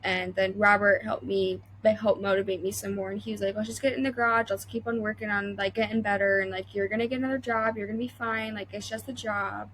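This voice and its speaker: A woman's voice: medium pitch, little pitch movement, fast, few pauses, a bit bright, a little hiss or hum, poor audio. A young adult woman welder talking as a podcast guest, chatty and full of fillers and restarts. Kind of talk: casual interview chat.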